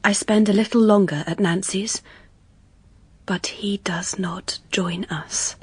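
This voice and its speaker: An actress playing a quiet, wistful young English governess, narrating intimately in a breathy half-whisper in a period audio drama. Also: female voice, medium pitch, fairly even intonation, slow, no echo. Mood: wistful, lonely.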